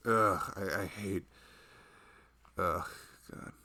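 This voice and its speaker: A man playing a loving, protective husband in an intimate ASMR roleplay, soft-spoken and chatting in a rambling, casual way. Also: low-pitched, extremely slow, exaggerated voice. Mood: sympathetic, worried.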